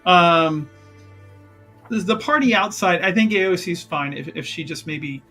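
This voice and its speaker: A man with a high, bright voice improvises as a player in a tabletop roleplaying game. He talks haltingly and doubles back on his words, with faint music behind him. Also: lively intonation. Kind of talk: improvised in-character game chat.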